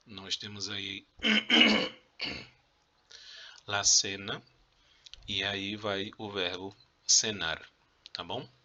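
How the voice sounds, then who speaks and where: A man's voice: lowish voice, bright, forceful tone. A man with a Brazilian Portuguese accent, a Spanish teacher giving a casual, joking review lesson to his students and coughing now and then.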